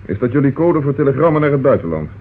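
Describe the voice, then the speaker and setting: An actor playing a shrewd, persistent police inspector in a radio drama, speaking with dry, controlled irony. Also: male voice, mid-range voice, very animated, quick pace, slightly rough, narrow, tinny audio. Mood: dry, probing. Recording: thin, noisy old radio recording.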